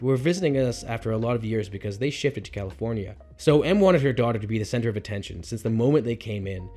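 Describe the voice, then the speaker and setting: A man hosting a channel, reading online stories aloud and reacting expressively between them. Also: mid-range voice. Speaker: host reading Reddit stories.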